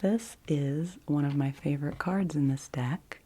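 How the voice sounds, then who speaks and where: A woman in her forties whispers softly and gently in an unhurried ASMR style, close to the microphone. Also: sits low, a little hiss or hum. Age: 40s. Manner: soft, gentle, unhurried delivery. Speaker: ASMR host doing an oracle card reading.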